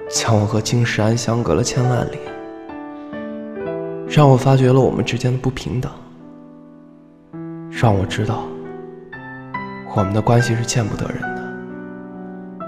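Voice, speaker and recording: A man playing a wounded, brooding secret lover in an audio drama monologue, sorrowful and slow. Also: medium pitch, lightly acted. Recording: long reverb under piano music.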